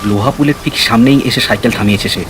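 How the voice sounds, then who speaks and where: A man's voice: mid-range voice, quick pace. A man narrating a scripted horror story in a suspenseful, dramatic way. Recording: heavy rain and storm noise behind. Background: rain, storm effects.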